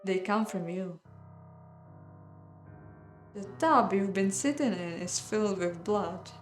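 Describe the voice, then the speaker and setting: A woman with a mid-range voice reads a visual novel aloud as host of a playthrough, mixing measured dramatic narration with casual commentary. The room gives her voice a clear echo, and music plays behind her. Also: mid-range voice.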